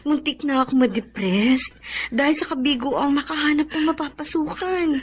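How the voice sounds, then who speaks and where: A woman's voice: sits high, normal speed, natural voice. An actress playing a cheerful, sincere woman confiding in her best friend in a radio drama.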